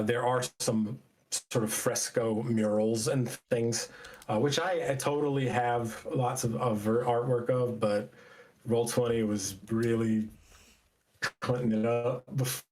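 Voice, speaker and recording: Male game master, mid-pitched, unhurried and halting, describing scenes off the cuff. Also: steady tone, a little mellow. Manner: halting, searching for words. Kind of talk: improvised narration of a tabletop game.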